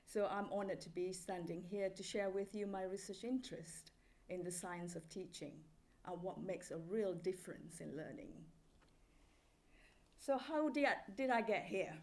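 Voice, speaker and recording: A middle-aged woman from Malaysia giving a public lecture as a professor, speaking graciously with hesitant pauses. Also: medium pitch, a little mellow. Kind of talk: public lecture, semi-scripted. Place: lecture hall with a live audience.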